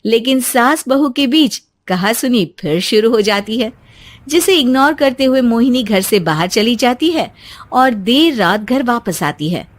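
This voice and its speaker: A woman's voice: fairly high pitch, expressive, a little slow. A woman narrating a scripted cartoon story as its storyteller.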